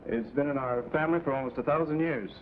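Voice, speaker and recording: An actor playing a guarded, proud young son in a vintage television drama, formal and measured. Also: male voice, mid-range voice, close to an everyday voice. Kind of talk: 1950s television drama acting. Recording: tinny, hissy vintage TV soundtrack.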